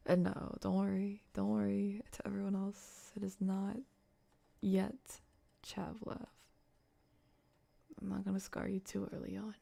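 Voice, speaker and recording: A soft-voiced woman chatting playfully and casually to viewers on an ASMR livestream, often dropping into a whisper. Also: mid-range voice, flat delivery, slow, gravelly, pauses often. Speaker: ASMR live streamer.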